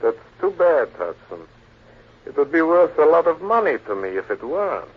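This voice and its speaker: An actor playing a cool, calculating gentleman villain in 1940s radio drama, curt and formal with a husky voice. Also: fairly high pitch, big pitch swings, moderate pace. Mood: cool, menacing. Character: cool, calculating gentleman who hires killers. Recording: dull old 1940s radio recording.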